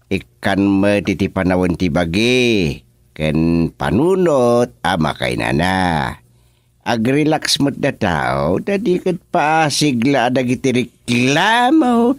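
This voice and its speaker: A put-upon village official in a comic radio serial, with swooping pitch and a slow, halting delivery. He sounds theatrical and exasperated, recorded close and dry. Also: no echo. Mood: weary. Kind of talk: rural comedy radio drama acting.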